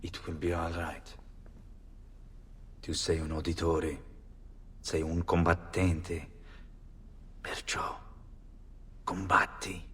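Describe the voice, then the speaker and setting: A solemn, tender man speaks. His voice is low, slow and level. It hangs in a long reverb. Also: level tone, a bit bright, slightly rough, full character voice. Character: solemn, tender Italian man soothing a newborn. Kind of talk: dramatic video game dialogue. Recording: long reverb, faint rumbling noise.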